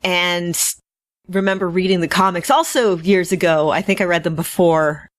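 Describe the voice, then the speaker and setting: A woman's voice: fairly low pitch, normal speed, no echo. A woman co-hosting a podcast in casual conversation. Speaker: podcast co-host. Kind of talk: casual chat.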